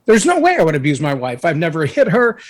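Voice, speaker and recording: A man guesting on a talk show, telling a personal story in an earnest, anecdotal way, with a voice that can boom when he raises it. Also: huge pitch swings, quick pace. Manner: earnest, anecdotal, acts out others' words. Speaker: guest speaker.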